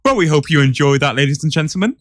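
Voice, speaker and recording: A man's voice: fairly high pitch, expressive, fast talker, a bit bright, dry recording, studio quality. A man co-hosting a podcast, talking casually with the others. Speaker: podcast co-host. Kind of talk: casual chat.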